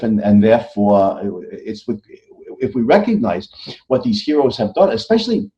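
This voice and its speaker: A man's voice: fairly low pitch, warm tone. An American man speaking as an interview guest, emphatic, often stammering and repeating words as he goes. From United States. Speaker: author guest on a podcast. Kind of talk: conversational interview. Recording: dull lo-fi audio.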